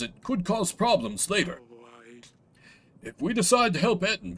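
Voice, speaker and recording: A man voicing a dutiful, cautious royal advisor in a video game, formal and deferential in manner. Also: high voice.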